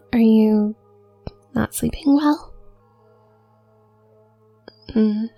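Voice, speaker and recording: Shy student witch, played by a woman: young, soft-spoken, nervous stutter, unhurried, slightly creaky voice, for an intimate audio roleplay. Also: mid-range voice, no room sound. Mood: gently friendly, shy. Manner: nervous stutter, trailing off, hesitant.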